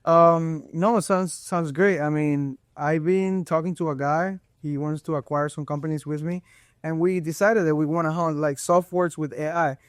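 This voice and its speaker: Man, young, podcast host, casual and slangy, slightly high voice, dry sound. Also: fairly even intonation, moderate pace, dry recording. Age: young adult. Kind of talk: casual interview talk.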